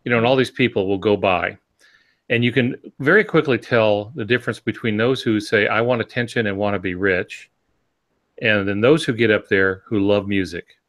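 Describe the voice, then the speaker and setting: A man's voice: fairly low pitch, moderate pace, fairly bright tone. A man co-hosting a podcast in a conversational style, rambling a little as he builds his points through examples. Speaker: podcast co-host.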